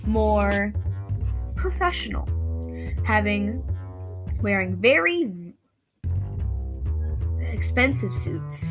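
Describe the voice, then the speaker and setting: A woman giving an informal introduction as a presenter, halting as she searches for words. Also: medium pitch, big pitch swings, over music. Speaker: student presenter introducing a play.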